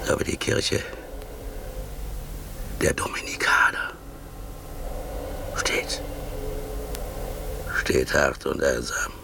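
Slow, gravelly, grim fanatic cobbler. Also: male voice, rumbling low, heavily acted. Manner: brooding, halting, rising to ranting zeal. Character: grim fanatical cobbler guarding a church with a cudgel. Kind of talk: 1950s German radio drama acting. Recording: noisy 1950s radio recording.

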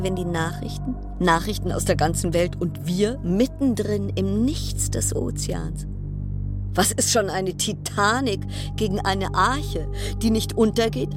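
An actress playing an uneasy, wry woman confined aboard a ship, musing in fragments in a radio play monologue. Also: medium pitch.

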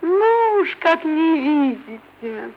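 A man plays a humble housekeeper woman in a classic stage comedy. The voice is high and very animated, with a plaintive, deferential, folksy lilt. The old recording sounds dull and slightly hissy. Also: takes it slowly, full character voice. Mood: coy, plaintive. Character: simple, wary female housekeeper of a rich old merchant. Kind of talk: theatrical classic Russian stage acting. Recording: dull vintage radio recording, faint hiss.

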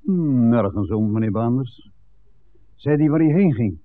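An actor playing a curt, guarded café owner with an air of authority in a 1960s radio play. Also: male voice, mid-range voice, animated, a little slow, lightly acted. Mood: guarded, questioning. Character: village café owner, guarded boss of a secret operation. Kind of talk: 1960s Dutch radio drama acting. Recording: thin narrowband vintage radio, faint noise.

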